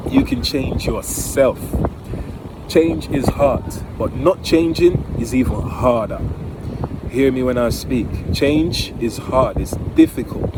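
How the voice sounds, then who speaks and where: Very noisy windy outdoor recording, male, Jamaican accent, mid-pitched voice, emphatic, informal motivational vlog. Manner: earnest, emphatic, repeats key phrases. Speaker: motivational vlogger. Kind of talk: informal motivational talk to camera. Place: outdoors near home by the water. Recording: very noisy outdoors, wind on the microphone.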